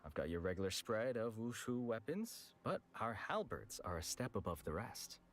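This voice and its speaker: An actor playing a frank, world-weary weapons merchant in a video game, with a casual, blunt manner. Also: male voice, on the low side, sing-song, moderate pace. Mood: businesslike. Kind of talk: scripted game voice acting. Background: background music.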